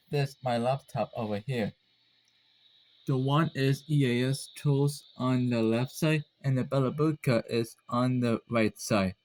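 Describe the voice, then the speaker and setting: A man hosting a casual hobby demonstration video, speaking in a halting, rambling, apologetic way. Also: medium pitch, darkish tone, low-quality recording.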